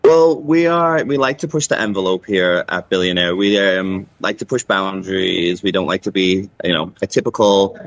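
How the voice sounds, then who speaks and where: A man's voice: low voice, normal speed, few pauses, no echo, lo-fi sound. A man from Liverpool in England, a cabaret MC, talking casually as an interview guest.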